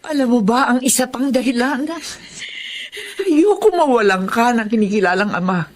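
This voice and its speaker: A woman's voice: a little high, expressive, normal speed. An actress playing a sorrowful, devoted middle-aged mother, earnest and emotional in a radio melodrama. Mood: pleading. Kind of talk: melodramatic radio drama acting.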